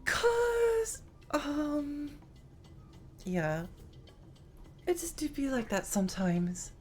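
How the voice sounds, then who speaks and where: A woman's voice: sits high, expressive, very slow, soft background music. A woman streaming a game live, chatting in a rambling, giggly way while her voice strains and tires. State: strained, tiring voice.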